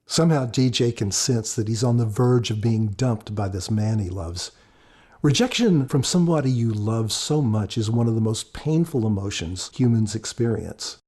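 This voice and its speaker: A man's voice: medium pitch, dark tone. A man speaking as an author on a podcast, giving scripted commentary.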